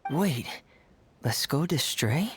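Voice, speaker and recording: A man playing a calm, analytical young traveller in a video game, husky and creaky, thoughtful and deliberate. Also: a little high, faint music behind. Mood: surprised.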